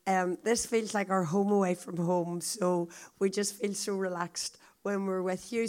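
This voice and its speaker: A woman with a croaky, hoarse voice, a visiting speaker telling a story to a congregation in a chatty, confiding way. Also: mid-range voice. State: hoarse, coughing.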